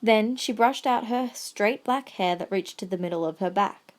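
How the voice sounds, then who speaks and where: A woman serves as narrator of an audio drama. Her voice sits at a medium pitch as she reads scripted story passages. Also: normal speed.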